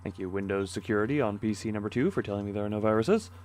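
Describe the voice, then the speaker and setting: A man's voice: on the low side, very fast, darkish tone. A man streaming a video game with casual, wry commentary, often muttering to himself as he plays.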